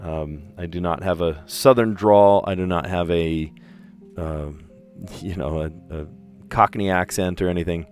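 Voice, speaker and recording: American guy from the east coast, kinda low voice, careful clear diction, chatty stream host thinking out loud. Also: steady tone, measured pace, soft background music. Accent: General American. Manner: careful diction, chatty, muses aloud with fillers. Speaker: puzzle livestream host. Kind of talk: casual thinking aloud while solving puzzles.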